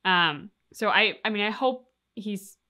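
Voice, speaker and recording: A woman co-hosting a reaction video, talking casually and reasoning aloud in a measured way. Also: medium pitch, bright, forceful tone.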